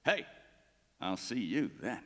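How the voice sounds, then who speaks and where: A man's voice: on the low side, big pitch swings, slow pace, fairly bright tone, full character voice. An actor playing a stubborn, wry old man in a comic radio play, folksy and theatrical with a sputtering delivery. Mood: sputtering, surprised. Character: cranky, wry old homeowner and ballplayer, stubborn. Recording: live stage sound in echoey hall.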